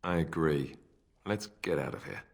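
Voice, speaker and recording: An actor playing an earnest, awkward young warrior prince in a fantasy video game, vulnerable and halting in his speech. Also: extremely low pitch, animated, creaky, heavily acted. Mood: hurt, disillusioned. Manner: hesitant, halting, sincere.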